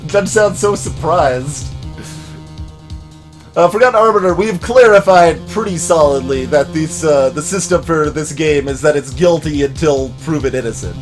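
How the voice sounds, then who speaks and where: A man's voice: fairly high pitch, normal speed. A man hosting a let's play, giving casual commentary on the game. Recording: music playing behind the voice.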